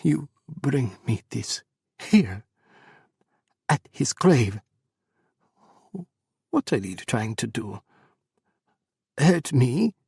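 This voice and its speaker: A man voicing a grieving, devout middle-aged mother who speaks in hushed, tearful tones and turns accusing. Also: a bass voice, slightly rough, no echo, clean studio sound, heavily acted.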